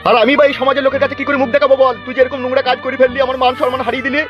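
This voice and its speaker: A man's voice: extremely high pitch, flat delivery, rapid-fire. A man voicing a wounded, scolding village father in a cartoon, with a lamenting manner. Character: indignant, wounded village father, foul-mouthed and shame-stricken. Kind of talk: cartoon comedy-drama voice acting. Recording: dull audio with music behind.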